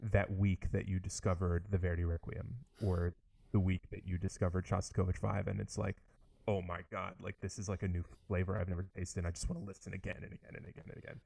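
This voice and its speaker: A man's voice: low voice, level tone, fast talker, vocal fry, darkish tone, a little hiss or hum, low-quality recording. A young man, a trombonist, chatting eagerly in an interview, with lots of likes and restarts. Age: young adult. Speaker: orchestral trombonist, interview guest. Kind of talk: casual interview conversation.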